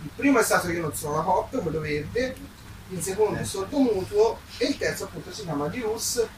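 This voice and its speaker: A man's voice: high-pitched, expressive, fairly quick, background noise. A man presenting his books as their author in an informal, unscripted way, hesitant and rambling, often adding little tag questions. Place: public book presentation, recorded from a distance. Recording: echoey, distant mic, audible crowd.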